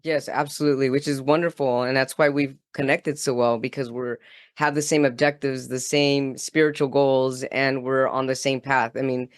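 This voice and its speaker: A man who hosts a podcast and grew up in Los Angeles, chatting warmly and enthusiastically with his guest. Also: medium pitch, flat delivery, moderate pace, no room sound. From Los Angeles, California. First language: Spanish. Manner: warm, enthusiastic, laughs easily. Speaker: podcast host. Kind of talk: casual interview chat.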